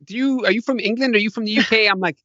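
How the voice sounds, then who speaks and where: Fast-talking Irish man from Galway. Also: sits high, pushed and bright, no echo, dull audio. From Galway, Ireland. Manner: quick, stumbling restarts. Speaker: podcast guest. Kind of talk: casual interview chat.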